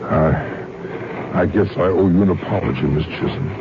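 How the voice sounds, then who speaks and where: An actor playing a folksy, shaken small-town American sheriff in an old-time radio drama, with hesitant rural speech. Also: male voice, sits low, moderate pace, noisy background, close to an everyday voice. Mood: sheepish, puzzled. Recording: dull vintage radio recording with loud music.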